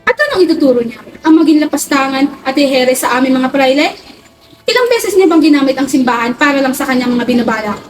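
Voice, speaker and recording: A woman's voice: sits high, animated, fast talker. A woman playing a domineering, scolding male friar in an amateur colonial period drama. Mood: indignant, scolding. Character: indignant, domineering Spanish-era male friar. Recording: distant mic with faint music.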